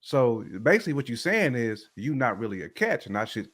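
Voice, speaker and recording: A man's voice: mid-range voice, sing-song, fairly quick, fairly bright tone. A man chatting casually on a podcast, giving opinions in informal, slangy, emphatic phrases. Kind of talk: casual chat, opinionated commentary.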